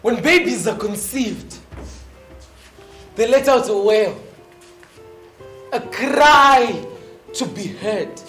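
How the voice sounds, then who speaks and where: A woman performing a theatrical spoken-word monologue as a solemn, impassioned narrator, with deliberate dramatic phrasing. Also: a little high, animated, a bit bright, heavily acted. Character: solemn, impassioned young woman narrator decrying youth suffering. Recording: echoey stage hall, music underneath. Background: music.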